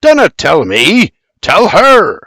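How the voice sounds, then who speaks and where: A man's voice: a little high, animated, measured pace, a bit bright, no echo, studio quality, voice pushed up. A man doing a teasing bearded farmer. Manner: barking, teasing. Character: bearded Scottish farmer father, gruff and teasing.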